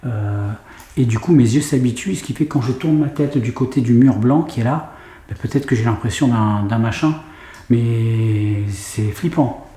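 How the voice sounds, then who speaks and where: Man with a cold, mellow voice. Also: medium pitch, normal speed, reverberant. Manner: hesitant with many fillers, sometimes whispering. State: has a head cold. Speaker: paranormal investigator vlogger. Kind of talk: hesitant talk to camera. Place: large room in an old house, indoors.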